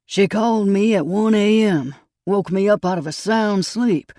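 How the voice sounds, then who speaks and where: An audiobook narrator voicing a distraught middle-aged husband from Texas who speaks in a thick drawl, in pained, halting lines. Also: medium pitch, a little mellow, dry recording, studio quality, voice pushed up, lightly acted. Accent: Texas drawl (as played). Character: grieving middle-aged Texan husband with gray hair.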